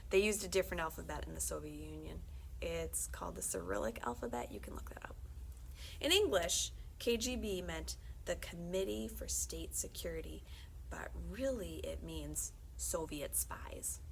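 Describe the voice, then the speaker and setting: A woman reading a children's book aloud as a librarian, conversational and expressive, often dropping into hushed, confiding tones. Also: lowish voice, normal speed, creaky, slight background noise, poor audio. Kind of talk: animated read-aloud of a children's book with brief asides.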